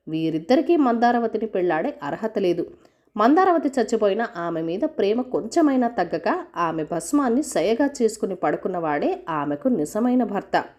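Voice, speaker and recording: A woman's voice: mid-range voice, a little mellow. A woman reading a scripted folk tale as a storyteller for children.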